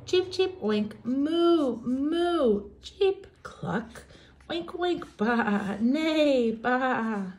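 A woman's voice: high-pitched, animated, very slow, darkish tone. A young woman teacher reading aloud for young children in an emphatic, theatrical, sing-song way.

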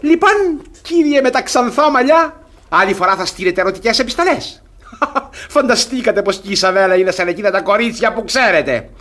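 Smug gullible bossy would-be husband, theatrical radio play, gloating, slightly high voice. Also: male voice, lively intonation, a bit bright. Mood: gloating, mocking. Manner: pompous, self-satisfied, gloating. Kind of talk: theatrical radio comedy acting.

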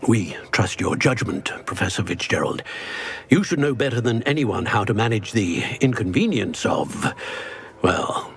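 An actor playing a stern old wizard portrait, speaking in a measured, formal way over soft game music. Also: male voice, lowish voice, sing-song, vocal fry, exaggerated voice. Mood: grave. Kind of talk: video game dialogue. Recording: game audio with soft score.

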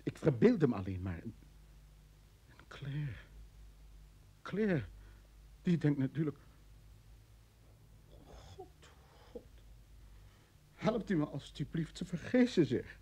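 A man's voice: on the high side, big pitch swings, slow, long pauses, mellow, husky, heavily acted. An actor in a radio drama playing a panicked, indignant man held by security, stammering as he protests. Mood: despairing. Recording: old radio play with background noise.

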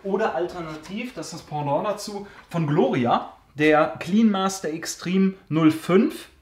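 A man's voice: high voice, unhurried, darkish tone. Chatty man with a roomy basement echo. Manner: chatty, hesitant fillers, joking asides. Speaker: car care channel host. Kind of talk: casual Q&A answering viewer questions. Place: basement storage room.

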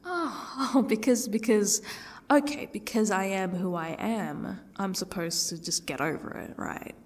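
A woman playing a defiant captive who taunts her torturer with bitter sarcasm in an ASMR roleplay, husky and often dropping toward a whisper, in halting, mocking phrases. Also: mid-range voice, natural voice. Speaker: audio roleplay performer. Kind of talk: ASMR roleplay monologue acting.

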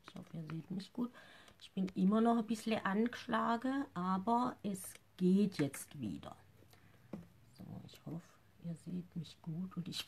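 Husky, chatty woman doing live makeup. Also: fairly low pitch. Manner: chatty, rambling, slightly nervous, often trails off into mumbles. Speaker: makeup seller and live-stream host. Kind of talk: casual live makeup tutorial. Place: living room at home.

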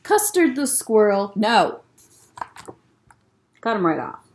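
A woman's voice: mid-range voice, very animated, slow pace. A young woman hosting a children's story time, reading a picture book aloud expressively with casual asides. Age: young adult. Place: library room lined with bookshelves, indoors.

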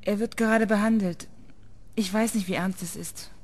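A woman's voice: medium pitch, fairly even intonation, normal speed, a little mellow, natural voice. An actress playing a young female journalist who questions people in a matter-of-fact way. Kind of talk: radio drama acting.

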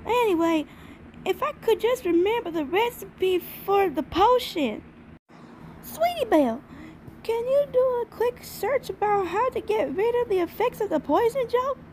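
Woman doing an earnest little cartoon pony kid, really high, unhurried, faint noise behind. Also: lively intonation, darkish tone, poor audio. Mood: thoughtful. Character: earnest, friendly little country filly, hopeful and forgetful. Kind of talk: amateur cartoon comic dub.

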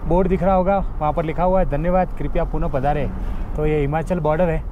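A man in his thirties narrates a road trip from a moving car, talking casually and pointing out sights. His voice sits a little high. A faint hum of the car and road runs under his speech. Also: fairly even intonation, fairly quick, phone-quality sound. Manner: chatty, pointing things out to viewers. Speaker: travel vlogger. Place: inside a car on a mountain road.

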